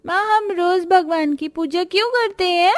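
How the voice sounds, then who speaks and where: A woman's voice: extremely high pitch, a little slow, no room sound, faint music behind. A woman playing a whiny, insistent small child in a cartoon story. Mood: pleading.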